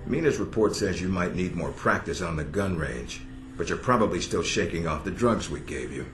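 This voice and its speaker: A man playing a gruff agency commander in a video game, plain-spoken and authoritative with measured approval. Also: low-pitched, animated. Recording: roomy echo, game music behind.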